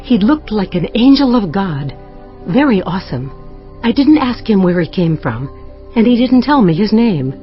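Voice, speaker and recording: A woman playing an earnest, awed Israelite wife in dramatized scripture, with expressive delivery. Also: mid-range voice, normal speed, natural voice. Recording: dull band-limited sound. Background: background music.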